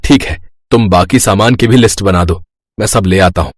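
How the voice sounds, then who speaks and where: A man acts as an accommodating middle-class husband in an audio family story. His voice is fairly low and dryly recorded, with a mild, hesitant manner. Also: normal speed, no echo, studio quality. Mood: hurried. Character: mild, worried middle-class husband and deferential younger brother. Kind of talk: scripted Hindi moral-story acting.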